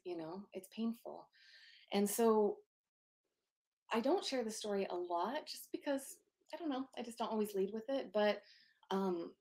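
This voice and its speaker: A soft-spoken woman in her thirties, a guest on a video call, telling her life story in an open, earnest way with lots of fillers. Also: mid-range voice. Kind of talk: candid personal storytelling. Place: home office.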